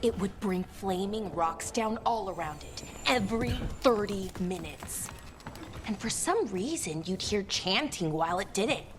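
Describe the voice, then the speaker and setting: An actress voicing an earnest, gentle young female artifact expert in a video game, speaking conversationally with slight hesitancy. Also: mid-range voice, lively intonation, measured pace, close to an everyday voice. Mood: earnest, grateful.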